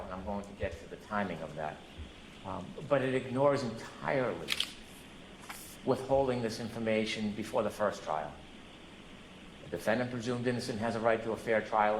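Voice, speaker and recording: A male prosecutor making a courtroom argument, recorded in an echoey room with background noise. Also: on the low side.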